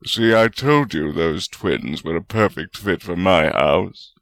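A man's voice: low-pitched, slow pace, a bit bright, exaggerated voice. A man voicing a hearty, stubborn, teasing male wizard spirit with a jovial manner in a storybook reading. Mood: amused.

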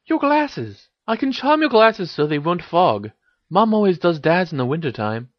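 A man's voice: high-pitched, sing-song, moderate pace, no echo, highs cut off, voice pushed up. A man voicing a fiery, proud teenage witch in an audiobook-style story, with emphatic delivery. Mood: teasing, caring. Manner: scolding, emphatic when angered. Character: fiery, indignant teenage witch, girl with stubborn pride.

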